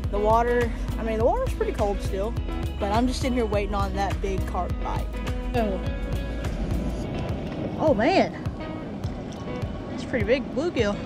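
A woman's voice: fairly high pitch, lively intonation, normal speed, pauses often, over loud music. Chatty young woman fishing outdoors. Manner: chatty, talks straight to viewers. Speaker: fishing vlogger. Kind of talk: casual outdoor vlog talk. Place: outdoors by a creek. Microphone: action camera held at arm's length.

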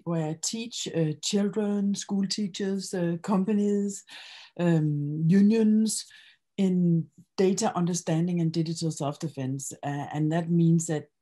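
A woman's voice: deep voice, warm tone. A middle-aged woman speaking as a panelist on a video call, earnest and fluent with fillers. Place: indoor home room. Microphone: video call webcam.

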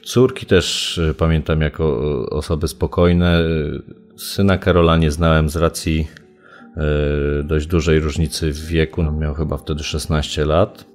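A man speaking off the cuff as a local journalist in an interview, with halting, hesitant phrasing. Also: very deep voice, flat delivery, darkish tone. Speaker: local journalist giving recollections. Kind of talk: unscripted interview recollection. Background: background music.

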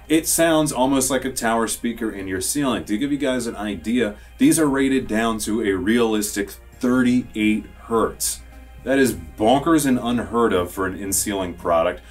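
An American man in his thirties giving an enthusiastic, emphatic product review to camera as a store presenter. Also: on the low side. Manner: enthusiastic, emphatic sales talk. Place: small indoor studio with dark backdrop.

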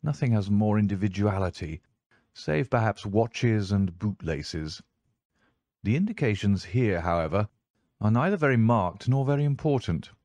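A man voicing an assured, analytical gentleman detective in an audiobook, with a precise, lecturing manner. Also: lowish voice, expressive, moderate pace, dry recording. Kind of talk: audiobook character voice.

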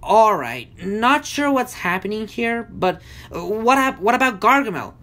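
A bold little female storybook creature played by a woman, spirited and urgent. The voice jumps high and swings with lively, exclamatory force. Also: unhurried. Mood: confused.

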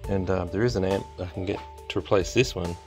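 A man's voice: low-pitched, steady tone, a little fast, over music. A man giving casual, unscripted vlog commentary in a rambling, understated way, recorded on a handheld phone in and around a car. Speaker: car audio hobbyist vlogger.

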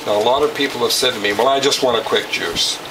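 A man in his sixties presenting in a deliberate, instructional way, like someone telling a plain story or giving a how-to demonstration. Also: mid-range voice. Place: home kitchen, indoors. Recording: noisy home-kitchen recording, distant mic.

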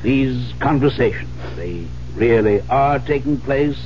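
Actor as a stern rational man, emphatic, animated. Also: mid-range voice, unhurried, lightly acted. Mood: stern, confronting. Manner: firm, emphatic, admonishing. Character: stern, rational male friend confronting a widow. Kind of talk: 1970s radio drama acting. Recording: muffled, noisy vintage radio broadcast.

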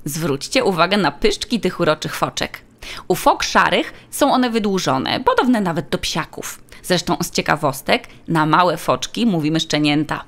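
A helpful female tour guide in a children's audiobook, played by a woman, with a slightly low and animated voice. She explains things politely and informatively. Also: moderate pace. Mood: explaining.

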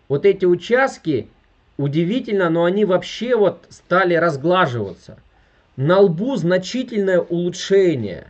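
30s guy doing a salesy video call pitch, highish voice, kinda dull lo-fi audio. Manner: emphatic, salesy, frequent appeals to viewers. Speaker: network marketing presenter. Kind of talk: sales presentation over video. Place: indoor room with furniture.

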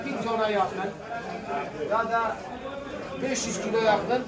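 A man in his forties working at a fish stall, chatting casually and warmly with a vlogger about the market. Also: sits high, steady tone, slow. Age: 40s. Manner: chatty, friendly banter with warm address. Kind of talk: casual chat. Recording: loud crowd behind. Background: crowded market chatter.

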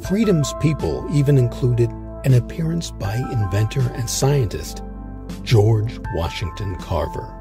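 A man's voice: mid-range voice, lively intonation, a little slow, music in the background. A man hosting a history series, reading a scripted documentary narration.

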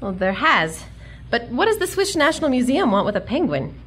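A woman's voice: on the high side, sing-song, a little fast, fairly bright tone, a little hiss or hum. A woman playing a plucky, wisecracking young museum worker in a comic radio drama, perky and sassy. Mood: puzzled.

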